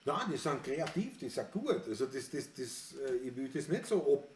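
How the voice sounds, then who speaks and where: A man's voice: medium pitch, sing-song, normal speed, reverberant. A man with a Viennese accent hosting a music livestream, chatting in a rambling, opinionated way and reading viewer comments aloud. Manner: rambling and opinionated, circling back on his words.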